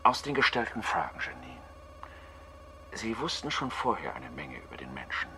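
An actor playing a troubled, analytical astronaut scientist who speaks in a halting, pensive way in a radio play. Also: male voice, extremely low pitch, very animated, gravelly, over music, heavily acted. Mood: uneasy, reasoning.

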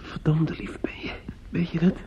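A man's voice: normal speed, natural voice. An actor playing a grief-stricken, restless young man in a radio play, stammering and repeating himself, often dropping to a whisper. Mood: tearful, affectionate. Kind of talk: intimate radio play acting. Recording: muffled, noisy old radio drama recording.

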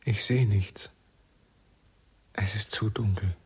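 An actor playing a dazed, searching gentleman visitor in a German radio drama, speaking in a wondering, deliberate way. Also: on the low side, steady tone. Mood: bewildered. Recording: roomy echo, music underneath. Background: music.

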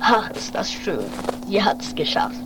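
A woman's voice: medium pitch, big pitch swings, measured pace. A woman playing a nervous, devoted companion of a daredevil in an improvised comic radio play, muttering dramatically and repeating words. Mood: elated. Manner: dramatic, repetitive, agitated muttering. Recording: noisy home-made 1980s radio play recording.

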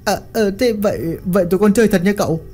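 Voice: mid-range voice, darkish tone, soft background music, pitched above the performer's own voice, lightly acted. A narrator doing a stammering male henchman. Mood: nervous. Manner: stammering, fast.

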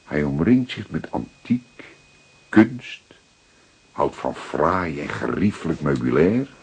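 An actor playing an older, paternal police detective with a Dutch accent, speaking in a deliberate, emphatic way with a husky voice. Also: male voice, extremely low pitch, very animated, exaggerated voice, low-quality recording. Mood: reflective, explanatory. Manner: deliberate, emphatic, repeating words for effect. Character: seasoned male police detective, paternal, methodical, secretive. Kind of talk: dramatic detective dialogue acting.

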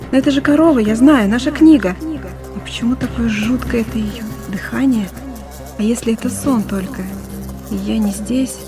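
A woman playing a dreamy, fearful young village woman in a radio drama, in an intimate reverie that moves between hushed and urgent, over music. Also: a little high, natural voice. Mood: frightened, confused. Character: dreamy, frightened young village woman lost in love memories. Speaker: actress in a radio play.

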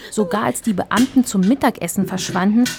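An actress playing the narrator of a children's audio drama, telling the tale in a composed, measured, scripted way. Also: female voice, mid-range voice, gravelly, soft background music, natural voice. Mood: composed storytelling.

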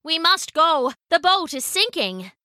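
A woman's voice: high-pitched, wildly swooping pitch, measured pace, bright, forceful tone, no room sound, clean studio sound, voice pushed up, exaggerated voice. A woman voicing a hearty retired female pirate sailor with a salty, exclamatory way of talking, in a storybook narration. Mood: urgent.

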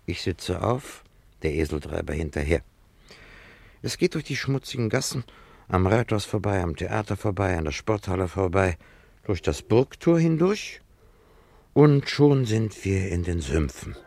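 A man's voice: low-pitched, lively intonation, moderate pace, gravelly, darkish tone, dry recording, heavily acted. A man playing an irritable, sardonic dentist in a German radio play, narrating theatrically in clipped, emphatic phrases. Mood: grumbling narration. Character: irritable, wine-loving male dentist in an ancient town.

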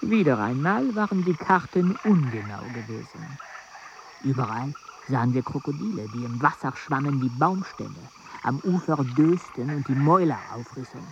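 A man's voice: medium pitch, expressive, moderate pace, gravelly, a little hiss or hum. An actor playing a dry, sardonic male botanist narrating an expedition in a radio drama. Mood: measured narration. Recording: dull sound over loud outdoor ambience.

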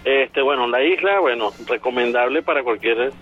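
A man's voice: mid-range voice, normal speed, slightly rough, soft background music. A man calling in by mobile phone, rambling with lots of fillers. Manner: rambling, many fillers like "bueno" and "o sea". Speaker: phone-in guest. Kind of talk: casual chat by phone. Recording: dull phone line.

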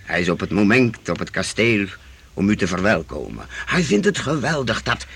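Low-voiced, animated wise master. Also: male voice, creaky, slight background noise, full character voice. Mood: reassuring. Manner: measured, reassuring, explanatory. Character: calm, wise schoolmaster guiding his young friends. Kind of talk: radio play acting.

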